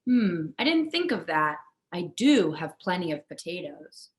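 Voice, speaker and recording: A woman's voice: on the low side, big pitch swings, measured pace. A woman voicing a kindly, patient older woman neighbour from a children's storybook, with homely, reassuring manner. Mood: hesitant, homely. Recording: echoey room.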